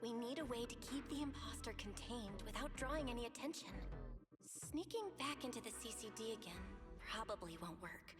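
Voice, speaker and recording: A woman's voice: fairly high pitch, expressive, normal speed, natural voice. A woman playing an earnest, eager young schemer in a sci-fi video game, with natural delivery. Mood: thoughtful. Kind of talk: scripted video game voice acting. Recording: game music behind the voice. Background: background music.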